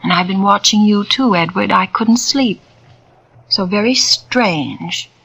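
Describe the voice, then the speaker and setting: A woman's voice: medium pitch, slow, creaky, fairly bright tone, husky, heavily acted, rough recording. An actress playing a proud, scheming spiritualist woman in a 1940s radio drama, talking in an intense, theatrical way. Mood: tender. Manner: intense, theatrical, rising to screaming hysteria. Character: scheming woman medium, proud, cornered into terror. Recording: vintage radio recording with music.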